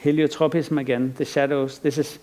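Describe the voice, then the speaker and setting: A man's voice: fairly high pitch, level tone, fairly quick, mellow, a little hiss or hum. A middle-aged man from Iceland giving a conference lecture as an artist, thoughtful and rambling, with frequent restarts and fillers. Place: lecture stage.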